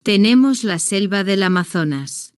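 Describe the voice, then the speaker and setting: Smooth, slow woman narrating for learners. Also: mid-range voice, lively intonation, no room sound, studio quality. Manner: slow, deliberate, evenly paced short sentences. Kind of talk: slow scripted first-person story narration for beginners.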